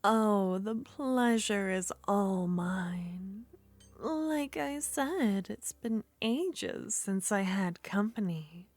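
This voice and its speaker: A woman voicing a lonely, possessive young witch in an ASMR roleplay, soft-spoken and slipping into whispers as she coaxes and flatters. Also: mid-range voice, drawn-out words, dry recording, faint music behind. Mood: coaxing.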